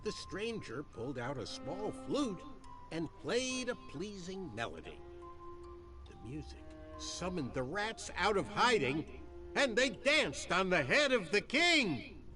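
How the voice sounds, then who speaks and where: A theatrical storyteller for a dark video game fable. His fairly high voice rises and plunges dramatically as he takes his time over each phrase, with music playing clearly underneath. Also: sing-song, heavily acted. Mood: ominous storytelling. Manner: deliberate, dramatic delivery. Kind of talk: theatrical scripted fairy-tale narration.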